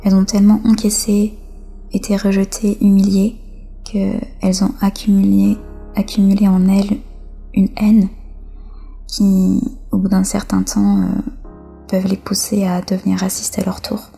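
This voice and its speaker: A woman from France giving personal testimony as an interview guest, speaking reflectively with hesitant pauses. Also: mid-range voice, completely flat delivery, gravelly, mellow, breathy edge, soft background music.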